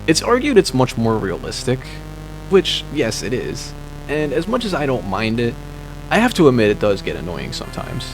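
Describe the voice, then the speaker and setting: A man reading a scripted video essay in a dry, wry, measured way. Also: medium pitch, wildly swooping pitch, low-quality recording. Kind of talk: scripted narration. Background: background music.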